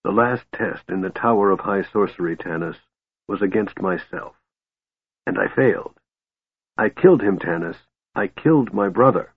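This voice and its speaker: A man voicing a frail, cold male mage in a storybook, with a soft, shattered whisper and calm, deliberate menace. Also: on the low side, slightly rough, no room sound, phone-quality sound. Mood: calm, bitter.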